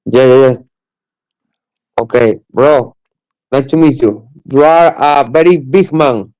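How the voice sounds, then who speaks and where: Friendly man in halting English. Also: mid-range voice, darkish tone, low-quality recording. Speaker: video chat stranger. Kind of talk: casual chat.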